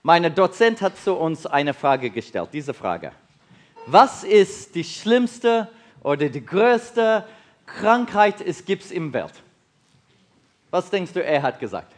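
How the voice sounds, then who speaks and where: A South African man with an English-speaking accent preaches in halting German, slipping in grammar as he goes. His voice sits high and swings widely up and down. He keeps turning to his listeners with questions. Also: very animated. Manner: halting non-native German with slips, asks the audience questions. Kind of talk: sermon to a congregation.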